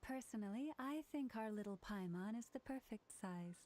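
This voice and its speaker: A woman playing a gentle, kindly young woman with a gracious manner in a video game. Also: mid-range voice, animated, normal speed, close to an everyday voice. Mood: fond. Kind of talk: video game character dialogue. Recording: faint noise from game stream.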